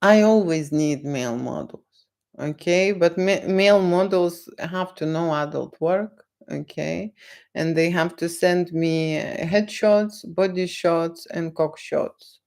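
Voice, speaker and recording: Slow, gravelly Lithuanian-accented woman. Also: lowish voice, darkish tone. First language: not English. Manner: slow, repeats "okay?", lecturing. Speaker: photographer on a livestream. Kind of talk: live Q&A chat with viewers.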